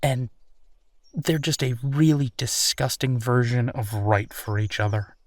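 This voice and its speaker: Slow, hesitant man acting as a werewolf. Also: medium pitch, no echo, lightly acted. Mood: disgusted, exasperated. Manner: halting, hesitant, sighing, conversational. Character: easygoing young male werewolf, awkward, candid, bitter about rival. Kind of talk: intimate audio roleplay to the listener.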